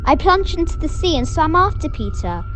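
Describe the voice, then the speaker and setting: A woman's voice: very high-pitched, sing-song, normal speed, a bit bright, soft background music, exaggerated voice. A young woman acting a desperate, defiant governess accused of murder in an amateur school radio play. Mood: pleading, defensive.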